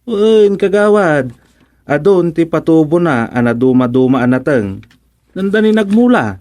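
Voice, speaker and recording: Actor as village man, Ilocano accent, wry, animated melody, slightly high voice, radio comedy drama. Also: a little slow. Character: wry, joking village man admiring a neighbor's garden.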